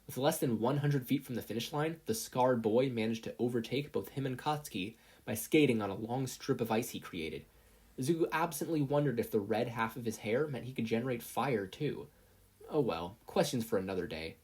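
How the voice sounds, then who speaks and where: Husky mid-pitched story narrator. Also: a little fast, a little mellow. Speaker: podfic narrator. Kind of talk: animated scripted story narration.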